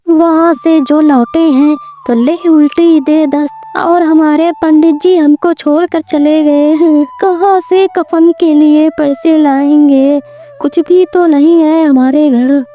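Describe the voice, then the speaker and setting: Woman acting a slow, high-pitched lamenting wife. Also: warm tone. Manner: plaintive, lamenting. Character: wailing, distressed wife of a poor priest. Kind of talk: Hindi cartoon moral story acting.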